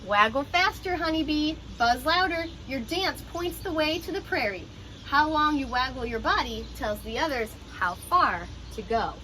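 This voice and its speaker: Bright, high-voiced woman reading aloud. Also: expressive, moderate pace, a little hiss or hum, poor audio. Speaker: teacher reading a picture book aloud. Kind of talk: children's storybook read-aloud with explanatory asides.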